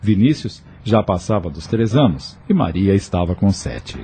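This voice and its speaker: A man's voice: low-pitched, sing-song, normal speed, mellow, slight background noise. A man with a Brazilian accent giving scripted narration for an audio novel.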